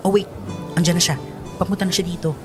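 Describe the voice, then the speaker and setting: A woman's voice: lowish voice, fairly even intonation, quick pace, over music, natural voice. A woman playing a sassy, teasing best friend in a radio drama, with playful, exaggerated, slangy delivery. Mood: sassy, upbeat.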